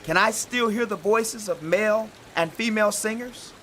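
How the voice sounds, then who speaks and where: A man's voice: high-pitched, moderate pace, pushed and bright, a little hiss or hum. An actor playing a humble eighty-year-old man, pleading in rhetorical questions in a dramatized audio Bible. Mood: humble, weary. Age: 80s. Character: very old, humble, wealthy man declining a royal invitation.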